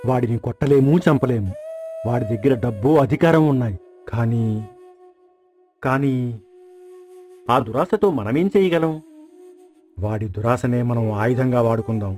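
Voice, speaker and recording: A man's voice: mid-range voice, expressive, normal speed, pauses often, darkish tone, faint music behind, natural voice. A man voicing an embittered, shrewd and weary old grandfather in an acted village story. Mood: scheming. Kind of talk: acted moral story dialogue.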